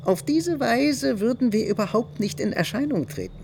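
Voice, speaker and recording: An actor playing a scheming bourgeois Parisian husband in a radio drama, with a creaky, gravelly voice. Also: male voice, very high voice, animated, normal speed, a little mellow, a little hiss or hum, exaggerated voice. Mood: calculating. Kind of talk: German radio drama dialogue.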